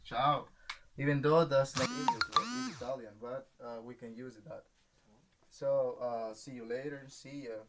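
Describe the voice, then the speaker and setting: A man teaching an English class in a patient, slow classroom manner, checking in with "right?" after each point. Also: medium pitch, lively intonation, over music.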